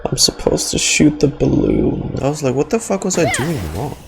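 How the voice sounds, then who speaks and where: A man's voice: on the high side, expressive, normal speed, vocal fry, music in the background. A man doing casual, joking reaction commentary as a game streamer. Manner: playful, joking, mimics sound effects.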